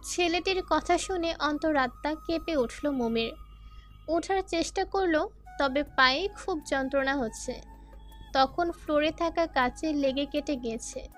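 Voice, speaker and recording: A woman's voice: sits high, measured pace. A woman narrator reading a scripted dramatic story. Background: faint music.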